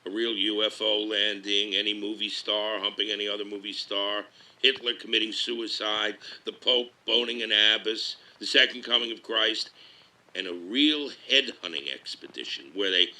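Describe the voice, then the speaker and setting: A very bright, hard-edged male voice acted by a man plays a sleazy B-movie producer in an audio drama. He is lowish in pitch and brash, spinning his story in crude, wisecracking mid-century showbiz slang. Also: normal speed, rough recording. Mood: brash, wry. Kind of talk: documentary-interview style monologue, mid-century showbiz slang.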